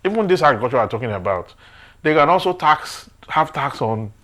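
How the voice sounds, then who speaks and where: Nigerian male panellist, medium pitch, opinionated and emphatic, discussion style with repetition for stress. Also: big pitch swings, a little fast, a bit bright. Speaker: panel discussant on current affairs.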